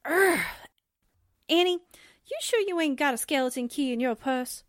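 A high-pitched, plucky newspaperwoman sidekick, slangy and jittery. She blusters and wisecracks in old radio drama style, recorded close and dry. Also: lively intonation, normal speed, dry recording. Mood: exasperated. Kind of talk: 1940s radio drama acting.

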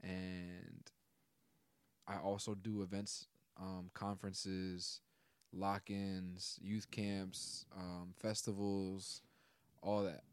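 A man's voice: low voice, flat delivery, extremely slow, clear, resonant tone, no room sound. A man in his twenties from Brooklyn, a guest DJ chatting casually on a podcast in a laid-back, slangy way. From Brooklyn, New York. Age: 20s.

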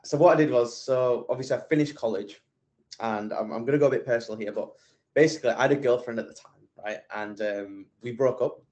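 A man's voice: medium pitch, fairly quick, darkish tone, lo-fi sound. Chatty young man. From England. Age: 20s. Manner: chatty, rambling with many fillers and restarts. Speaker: podcast guest, entrepreneur. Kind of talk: casual interview chat.

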